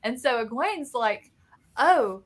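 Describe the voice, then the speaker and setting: A woman's voice: a little high, takes it slowly. A woman co-hosting a casual book chat, telling scenes animatedly and acting out characters' reactions. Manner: animated storytelling, acts out reactions. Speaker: co-host of a book readalong discussion.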